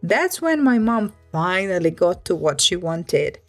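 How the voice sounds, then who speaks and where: A woman's voice: on the low side, big pitch swings, measured pace, soft background music. A woman narrating a scripted first-person story, reading it aloud.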